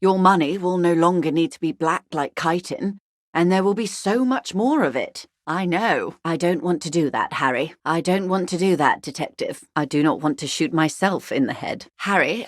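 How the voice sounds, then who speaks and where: Wealthy, weary corporate negotiator woman performer, video game role: fairly low female voice, eloquent and measured, very dry studio sound. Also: no echo, lightly acted. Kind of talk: literary video game dialogue acting.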